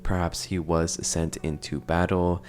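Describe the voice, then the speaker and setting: A man's voice: deep voice, level tone, a little slow, a little mellow. A man narrating a casual, analytical commentary on horror videos.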